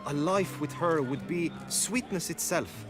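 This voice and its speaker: A man's voice: a little high, unhurried, natural voice. A man playing an earnest, lovestruck, chivalrous knight in a game, speaking formally and romantically. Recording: music behind the voice. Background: game music.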